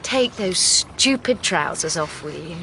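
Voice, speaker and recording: A woman's voice: on the low side, animated, unhurried, vocal fry, pushed and bright, a little hiss or hum, heavily acted. An actress playing a languid, flirtatious rich woman in a radio drama.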